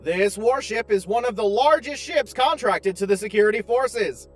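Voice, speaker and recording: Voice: mid-range voice, expressive, normal speed, faint music behind, close to an everyday voice. An actor playing a chipper, oblivious, unfailingly polite tour guide AI in a space audio drama, speaking with formal, cheerful precision. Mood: chipper, informative.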